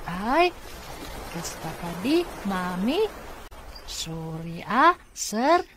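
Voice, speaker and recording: An actor playing a lively, polite and curious young boy in an Ilocano radio comedy-drama, with a childlike, chatty, eager way of talking. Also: medium pitch, extremely slow, noisy background. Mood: apologetic, polite.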